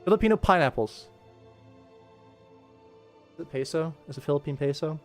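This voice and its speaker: A man's voice: a little high, expressive, normal speed, faint music behind. A young man talking casually as a livestream host, rambling playfully and thinking aloud as he works. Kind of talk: casual livestream chat while working.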